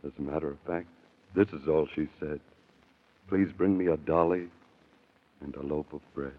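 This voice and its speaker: A kindly, elderly prisoner in an old radio drama. His voice is low and beseeching, speaking earnest rhymes through a tinny, narrow-band recording. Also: fairly even intonation, normal speed. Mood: pleading, sorrowful. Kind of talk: 1930s radio acting.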